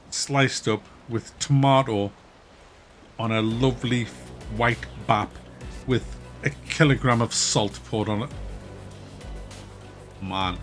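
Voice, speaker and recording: A man with a Wearside Mackem accent from near Durham, casually chatting with viewers during a game stream, relaxed and chatty, often laughing and trailing off. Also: mid-range voice. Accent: Wearside (Mackem) North East English. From North East England, near Durham. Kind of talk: casual live-stream chat reading viewer comments.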